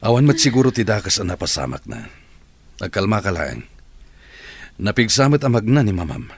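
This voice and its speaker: A man playing a practical, reassuring character in an audio drama, talking in an expressive, conversational way. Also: lowish voice, moderate pace.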